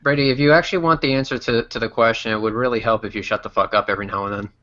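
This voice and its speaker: A man's voice: medium pitch, fairly even intonation, bright, forceful tone. Blunt scolding man on dull call audio. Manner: blunt, sweary, scolding, deliberate. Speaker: debate panelist. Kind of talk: heated argument.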